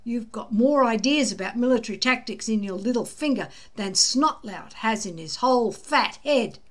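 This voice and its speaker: A woman voicing an excitable, anxious and loyal young Viking boy in a storybook, with earnest emphasis. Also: on the high side, moderate pace. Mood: earnest, encouraging.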